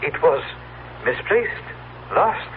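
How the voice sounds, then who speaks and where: An actor playing a calm male visitor from the future in a 1970s radio drama, speaking in formal, halting, measured phrases. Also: on the high side. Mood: weary, insistent. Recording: noisy, tinny vintage radio recording, humming.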